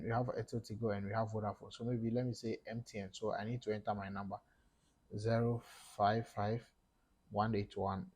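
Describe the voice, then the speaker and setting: A young man from Ghana with a Ghanaian English accent, talking viewers through a screen-recorded tutorial step by step, with fillers and pauses. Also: mid-range voice, dark tone, husky. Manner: casual explaining, talks through each step, halting with fillers. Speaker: tech YouTuber. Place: small room indoors.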